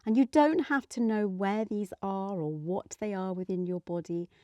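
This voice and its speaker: A woman leading a guided meditation in a soothing, gentle manner, letting her phrases trail off softly. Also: medium pitch, moderate pace, dry recording.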